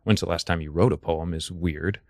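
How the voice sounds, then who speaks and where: A man's voice: low-pitched, fairly even intonation, brisk, no room sound. A man from Texas with an American accent, explaining things thoughtfully and wordily in casual podcast chat. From Texas, United States. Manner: thoughtful, wordy, erudite phrasing. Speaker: podcast guest, comedian.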